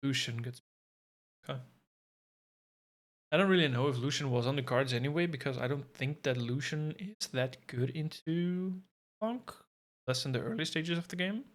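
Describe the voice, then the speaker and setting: A man's voice: mid-range voice, normal speed, pauses often. A man giving casual live commentary on an esports match from his home setup, thinking aloud and adding self-deprecating asides. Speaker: esports co-streaming caster.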